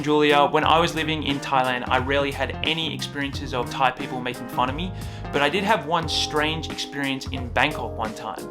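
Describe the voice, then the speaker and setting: Young Australian male host, fast and upbeat, a bit high, music under him. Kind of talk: scripted vlog narration.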